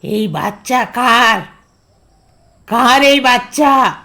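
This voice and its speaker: A woman playing a menacing, accusing village tantric sorceress in a horror audio drama, with ominous, drawn-out taunts. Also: on the high side, pushed and bright, exaggerated voice. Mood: ominous, demanding.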